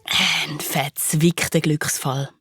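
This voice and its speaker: A woman's voice: deep voice, fairly even intonation, takes it slowly, music in the background. A woman playing a playful, confiding narrator of a children's detective story, with tongue-in-cheek asides. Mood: playful, intrigued. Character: wry, playful female storyteller of detective cases.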